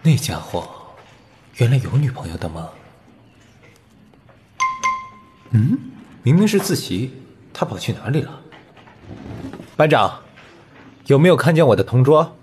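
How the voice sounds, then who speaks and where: Lively man voicing a friendly teenage schoolboy. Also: medium pitch, moderate pace, pauses often, a little hiss or hum, natural voice. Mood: curious, musing. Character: friendly, curious teenage schoolboy, openly admiring his classmate. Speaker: amateur voice actor. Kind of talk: audio comic voice acting.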